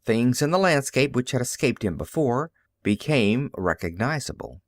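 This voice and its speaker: Expressive male audiobook narrator, very dry sound. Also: mid-range voice, moderate pace, no echo. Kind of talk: dramatic scripted narration of classic science fiction.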